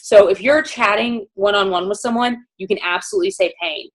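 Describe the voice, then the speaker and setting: A woman's voice: medium pitch, fairly quick, poor audio. A woman casually presenting products to a panel, informal and chatty with many fillers.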